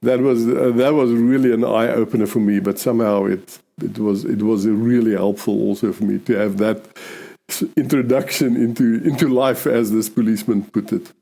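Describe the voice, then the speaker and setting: A man's voice: fairly low pitch, steady tone, gravelly, dark tone. An older man from South Africa with an Afrikaans accent, a police chaplain talking reflectively in an interview, often restarting his sentences. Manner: halting, many restarts and "you know".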